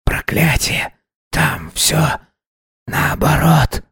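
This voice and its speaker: Husky, creaking, doomed man. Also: fairly high pitch, steady tone, a bit bright, dry recording, clean studio sound, voice pushed up, full character voice. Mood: weak, desperate. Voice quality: husky, gravelly, whispered in places. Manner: slow, halting, ominous. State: weak, gasping. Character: dying mutilated man, later a possessed speaking corpse.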